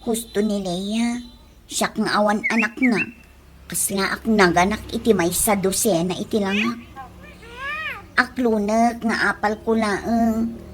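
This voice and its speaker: A woman's voice: mid-range voice, fairly even intonation, slow pace, long pauses, noisy background, close to an everyday voice. A woman with an Ilocano accent playing a touchy, boastful, whiny woman in a comedy radio drama. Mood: sheepish, remorseful. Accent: Ilocano, from the Philippines.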